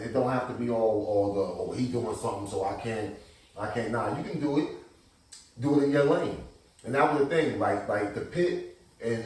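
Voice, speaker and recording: Man, battle rap veteran, talky, repeats himself, roomy distant sound. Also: medium pitch. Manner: animated, repeats words, quotes himself in conversation. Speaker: battle rap league figure being interviewed. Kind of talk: casual interview chat. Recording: echoey room, voice picked up from a distance.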